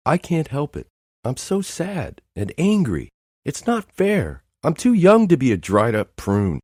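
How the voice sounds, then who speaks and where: A man voicing a tearful, bitter young woman with a high, strained voice close to tears. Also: sing-song, normal speed, a little mellow, no echo.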